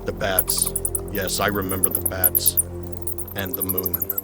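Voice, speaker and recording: Man acting a haunted young gentleman, low-pitched, solemn, formal, motion comic drama, music underneath. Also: little pitch movement, slow pace. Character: brooding young gentleman haunted by his parents' murder. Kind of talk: motion comic narration and dialogue.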